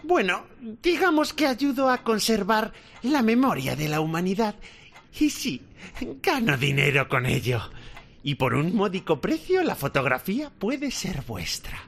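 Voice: mid-range voice, sing-song, unhurried, gravelly, heavily acted. An actor playing a sly, melodramatic, hypersensitive smuggler in a radio drama, whining and self-righteous.

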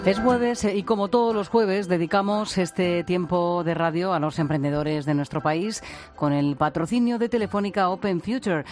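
A radio host leading an interview, brisk and encouraging, dropping frequent short acknowledgements. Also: medium pitch, a little mellow, faint music behind. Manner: brisk, many short backchannel "ujú"s.

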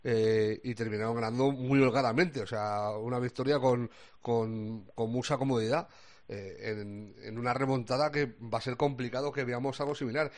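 Man, Spain accent, basketball commentator, mid-pitched voice, colloquial, halting delivery, thinking aloud. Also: steady tone. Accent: Spanish from Spain. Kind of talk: casual sports discussion.

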